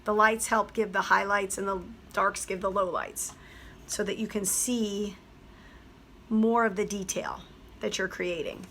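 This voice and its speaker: Hoarse woman explaining a craft. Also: medium pitch, steady tone, measured pace, sharp tone, slight background noise. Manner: chatty, step-by-step explaining. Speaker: teacher; craft tutorial host. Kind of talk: craft tutorial voice-over.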